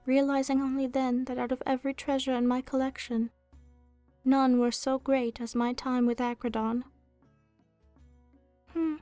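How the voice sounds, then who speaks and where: A woman voicing an ancient, gentle female dragon in a soft ASMR roleplay, speaking wistfully and formally, as if telling a storybook tale. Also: high-pitched, no echo.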